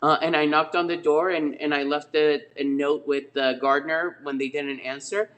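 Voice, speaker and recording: A man's voice: a little high, steady tone, normal speed, fairly bright tone. A middle-aged man, a real estate agent who grew up in Spain, telling stories from his career on a webinar in a rambling way, with many fillers and restarts, over a video call. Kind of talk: webinar guest storytelling.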